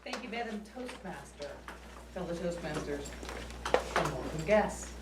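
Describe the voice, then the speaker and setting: A woman giving a formal, measured address as an evaluator at a speaking club. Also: on the low side, lively intonation. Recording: echoey room from far off with noise.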